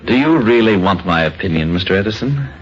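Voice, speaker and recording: An actor playing a courteous, self-assured young psychic in an old-time radio drama. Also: male voice, lowish voice, animated, fairly quick, a little hiss or hum, close to an everyday voice. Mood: confident. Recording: dull old radio recording.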